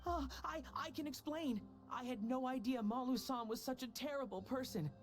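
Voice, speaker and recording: A woman's voice: sits high, moderate pace, close to an everyday voice. A woman playing a nervous, apologetic employee in a video game, speaking in a hesitant, pleading way. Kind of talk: video game character acting.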